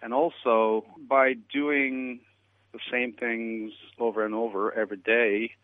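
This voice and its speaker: A man from Turkey answering interview questions in a measured, reflective way. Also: medium pitch, flat delivery, dry recording. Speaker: guest. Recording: tinny phone line.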